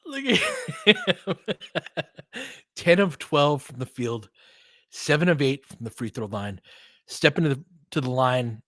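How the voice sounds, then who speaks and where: A young man doing live play-by-play commentary, excitable, with quick asides and frequent laughs. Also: on the high side, no echo, clean studio sound. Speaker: fan play-by-play host.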